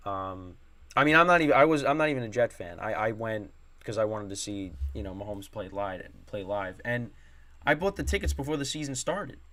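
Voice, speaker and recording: A man's voice: on the low side, expressive, fairly quick, a bit bright. A young man hosting a sports podcast solo, rambling with many fillers and restarts, his voice strained from screaming at a game. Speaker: podcast host.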